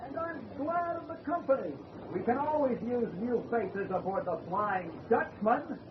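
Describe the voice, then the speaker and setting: An actor playing an eerie ghost ship sailor in a radio horror drama, calling out in drawn-out shouts. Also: male voice, extremely high pitch, lively intonation. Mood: eerily welcoming. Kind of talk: old-time radio horror acting. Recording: distant, muffled voice under loud noise.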